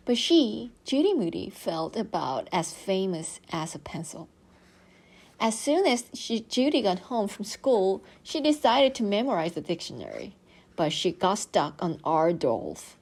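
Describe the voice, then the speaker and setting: A woman reading a children's book aloud as an expressive storyteller, dramatizing as she goes. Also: medium pitch. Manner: animated, dramatizing dialogue.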